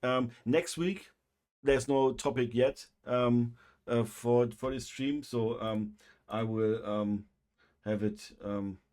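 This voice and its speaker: A man with a German accent, a native German speaker, hosting a livestream and chatting casually, with a halting delivery full of pauses and fillers. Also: medium pitch, fairly even intonation, a little mellow. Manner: halting, many fillers and restarts. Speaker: livestream host.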